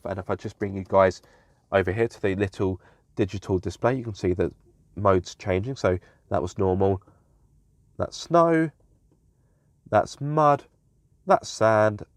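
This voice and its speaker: Congested young man with a low voice. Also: no room sound. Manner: chatty, self-correcting with fillers. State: congested, slightly nasal. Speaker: car reviewer. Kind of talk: casual car walkaround review. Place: inside a car.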